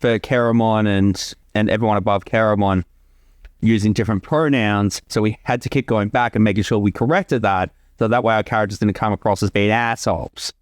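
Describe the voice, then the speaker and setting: A man's voice: lowish voice, steady tone, brisk, dry recording. A man giving casual creator commentary, chatty and explanatory, close on the mic. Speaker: commentary host, series creator.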